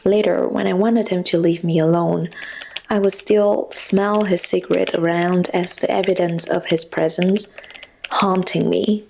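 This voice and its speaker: A woman in her twenties, a non-native English speaker, narrating a reflective, scripted personal story in a confessional, measured way. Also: lowish voice, steady tone, vocal fry, darkish tone, a little hiss or hum, rough recording. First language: not English. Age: 20s. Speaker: personal vlogger.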